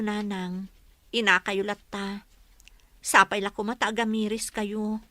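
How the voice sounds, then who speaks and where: A woman's voice: on the high side, bright, forceful tone, dry recording. A woman with an Ilocano accent playing a dutiful young married daughter in a radio drama, earnest and respectful. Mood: hurt, pleading.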